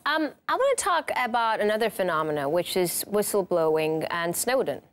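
A woman's voice: medium pitch, very animated, normal speed. A young woman hosting a TV interview in a studio, asking poised, probing questions. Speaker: TV interview host. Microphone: broadcast studio mic.

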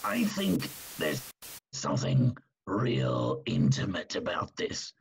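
A man playing a meek, chatty, conscientious henchman with a husky, gravelly voice, earnest and deferential in a crime drama. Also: low voice, wildly swooping pitch, slow, heavily acted. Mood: earnest hesitant. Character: meek, chatty, conscientious hired thug reporting to boss.

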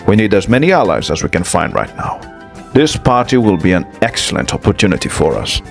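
An aging, loyal knight played by a man, lowish in pitch with lively swings. He counsels in a courtly manner, a fantasy game advisor over music. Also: fairly quick, creaky, exaggerated voice. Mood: courtly, warm. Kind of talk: fan dub voice acting of a fantasy story game. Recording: music behind the voice.

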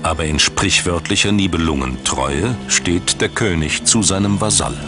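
Male documentary narrator, low register, scripted and dramatically measured, over a music bed. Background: background music.

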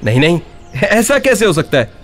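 A man voicing a clever, fearless, polite young villager in an animated Hindi story. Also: sits high, normal speed, soft background music, voice pushed up. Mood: sly, polite.

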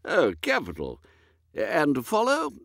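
A dotty old british earl, pitched up, wobbling all over, dithery audiobook character. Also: male voice, wildly swooping pitch, measured pace, creaky, fairly bright tone, no echo, clean studio sound, full character voice. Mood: contentedly fussy. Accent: British English. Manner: bumbling, hesitant, repeats himself. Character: vague, dithering elderly English earl.

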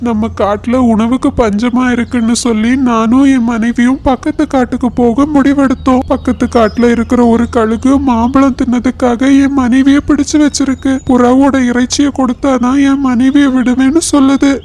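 A man's voice: very high voice, level tone, normal speed, hardly any pauses, a little mellow. A man voicing an earnest, devoted male crow husband in a cartoon story, with a pleading manner. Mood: distressed.

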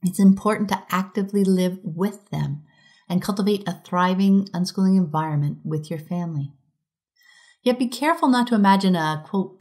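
A middle-aged woman reading a prepared conference talk aloud in a measured, reflective way as a podcast host. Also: lowish voice, darkish tone.

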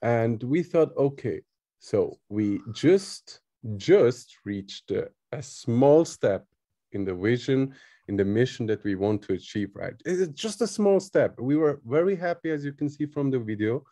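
Mellow man, halting English. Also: medium pitch, expressive. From Switzerland. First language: not English. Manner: halting, searching for words. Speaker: guest, crypto project team member. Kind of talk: casual interview answers.

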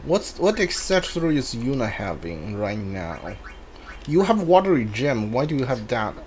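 A man's voice: mid-range voice, sing-song, moderate pace. A man giving casual live playthrough commentary, rambling hesitantly as he thinks aloud. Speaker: game streamer. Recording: faint background noise from game ambience. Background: video game ambience.

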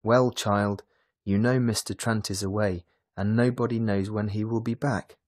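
A man reading a storybook, voicing a gentle, reluctant older doctor who talks to his daughter with mild hesitation. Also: on the low side, fairly even intonation, normal speed, lightly acted. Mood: hesitant.

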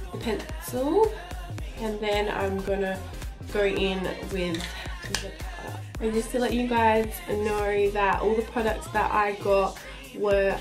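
Young aussie girl, bit of a cold, slow chatty makeup review, small room. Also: medium pitch. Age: young adult. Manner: chatty, unhurried, trailing off mid-sentence. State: slightly stuffy from a cold. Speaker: beauty vlogger. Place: small indoor room, plain wall.

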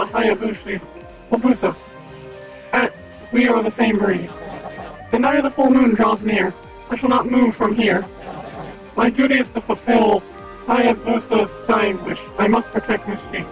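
A solemn, loyal guard dog speaking in a slow, careful way, read aloud by a man. The voice sits very high and carries a slight rasp, and game music runs underneath it. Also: rough recording. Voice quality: slightly raspy.

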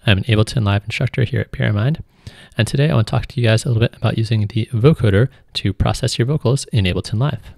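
A man giving an informal, step-by-step tutorial as a music software instructor. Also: lowish voice, fast talker, vocal fry, hardly any pauses, dry recording, studio quality. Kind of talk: tutorial narration, explanatory.

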